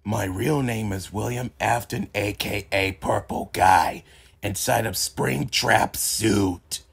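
A man voicing a sinister, vengeful killer in an animatronic rabbit suit from a horror game, gravelly and raspy. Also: fairly low pitch, takes it slowly, exaggerated voice. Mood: menacing.

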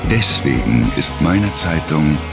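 Deep male commercial voice-over over guitar. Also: animated, slow pace, gravelly. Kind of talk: scripted advertising narration. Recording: loud guitar music behind voice.

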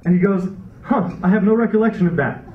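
A man's voice: sits high, animated, fast, very noisy. A young man performing a stand-up comedy set on a theater stage, rambling and wry with frequent restarts, into a handheld mic. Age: young adult. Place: dark theater stage before a laughing audience. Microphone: handheld stage mic, recorded from a far camera. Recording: roomy, distant, dull hall recording with crowd laughter.

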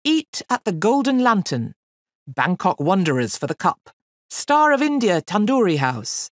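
A woman's voice: mid-range voice, sing-song, unhurried, no room sound, pitched above the performer's own voice. Lady doing an angry blustery storybook boss man, scolding, voice jumping all over, dry recording. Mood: scornful reading. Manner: roaring, scolding, exasperated. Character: irate, blustering male elephant master. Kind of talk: children's storybook character voice.

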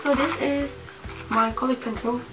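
Young woman w a bit of a cold doing a casual show n tell vlog, kinda halting, mic not close, music going behind her. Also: high-pitched, fairly even intonation, far from the mic. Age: young adult, about 18. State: slight cold. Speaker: vlogger. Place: small home room, indoors.

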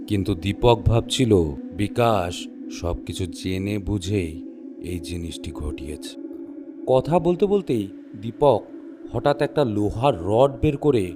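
A man narrating a scripted horror story in measured, suspenseful short phrases. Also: on the low side.

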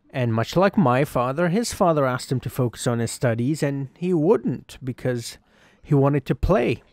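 A man playing an excitable, earnest young schoolboy cricketer who tells his story conversationally, with emphatic pauses, in a dramatised game story. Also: medium pitch, no echo, studio quality. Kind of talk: first-person dramatised narration over game cutscenes.

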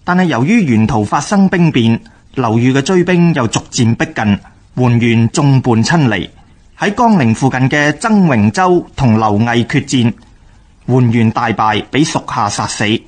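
A man with a Hong Kong Cantonese accent narrating scripted history for radio in a measured, expository manner. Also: mid-range voice, lively intonation.

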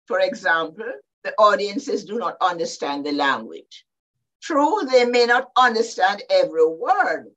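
An older Jamaican woman speaking as a panelist over a video call from her home study, deliberate and explanatory. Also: fairly high pitch. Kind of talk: measured panel talk. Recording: dull lo-fi video call audio.

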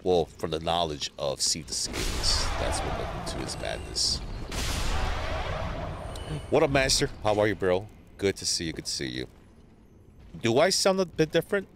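A man streaming a game live, chatting casually with viewers and greeting them by name, sounding a little stuffy from allergies, on a boom mic. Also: moderate pace, vocal fry, pauses often, noisy background. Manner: greets chat viewers, relaxed banter. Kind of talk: casual live gameplay chat.

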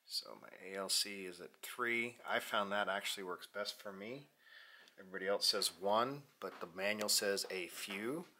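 Man, deep pitch, bright forward tone, halting casual vlogger talk, self-correcting. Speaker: vlogger, amateur radio hobbyist. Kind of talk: casual vlog chat. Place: indoors, basement workshop and house room. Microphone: camera at arm's length. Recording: background noise, handheld camera audio.

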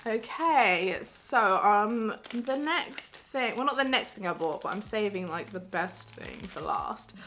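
A young woman in her twenties, chatting excitedly and giggling through a haul vlog, filmed in a small bedroom by a camera facing her. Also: medium pitch, slow pace, hardly any pauses, a little hiss or hum. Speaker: vlogger.

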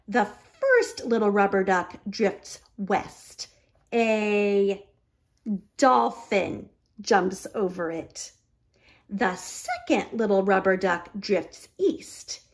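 Slow, lilting woman reading to children. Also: mid-range voice. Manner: deliberate, expressive, repeats phrases for children. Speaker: children's library storyteller. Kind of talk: picture book read-aloud for young children.

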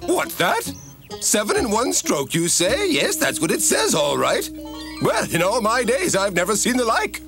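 A man's voice: high voice, huge pitch swings, unhurried, vocal fry, exaggerated voice. A man voicing a pompous, easily flustered elderly king in a cartoon, blustering and regal, with music behind. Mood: impressed. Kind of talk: animated cartoon character acting.

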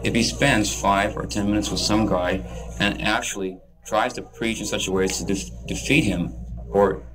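Guy with a lowish voice, laid back, explaining stuff. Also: fairly even intonation, moderate pace, low-quality recording. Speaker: book distributor. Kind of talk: explanatory commentary.

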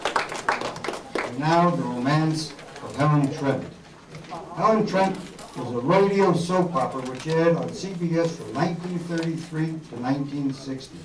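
A man's voice: on the high side, a little slow. An older man reading a scripted introduction aloud as presenter. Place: community meeting room before an audience. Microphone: handheld PA mic, picked up by camera. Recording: echoey room, distant camera mic, hiss.

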